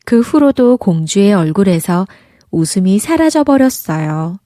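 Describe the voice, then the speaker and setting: A woman's voice: medium pitch, very animated, a little slow, warm tone, dry recording, clean studio sound. A woman narrating a children's fairy tale, reading aloud expressively as a storybook narrator.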